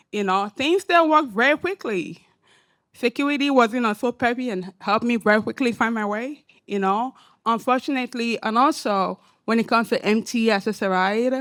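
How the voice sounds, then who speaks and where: A woman with an African accent speaks on a symposium panel in a mid-pitched voice. She is emphatic and animated, and she punctuates her points with rhetorical questions. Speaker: panelist, academic and social entrepreneur. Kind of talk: panel discussion remarks.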